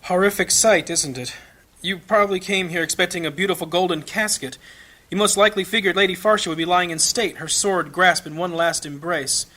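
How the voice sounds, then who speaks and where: A man's voice: sits high, fairly even intonation, a little fast, bright, forceful tone. A man playing a grave, blunt fantasy priest who preaches in an oratorical, questioning way in an audio drama. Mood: grave, bitter. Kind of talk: dramatic funeral sermon.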